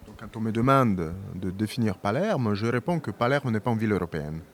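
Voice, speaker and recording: A man working as a live interpreter, rendering a speaker's words in the first person in a measured, slightly hesitant way. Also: fairly low pitch, animated.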